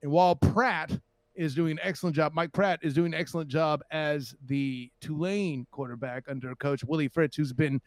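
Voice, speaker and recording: A man's voice: a little high, fairly even intonation, moderate pace. A man giving casual, opinionated sports commentary as a panelist, wordy, wry and emphatic. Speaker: sports show panelist and reporter.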